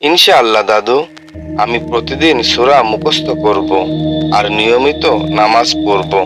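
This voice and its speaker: A man playing a calm, devout young village friend in a cartoon, speaking in a steady, reassuring way. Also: medium pitch, moderate pace, over music, natural voice. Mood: grateful.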